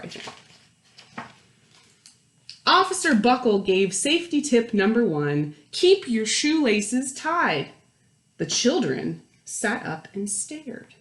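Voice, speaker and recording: Animated woman reading a storybook, echoey room. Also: medium pitch. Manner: animated, asks young listeners questions and explains the pictures. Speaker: children's storytime reader. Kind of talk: expressive picture-book read-aloud with chatty asides.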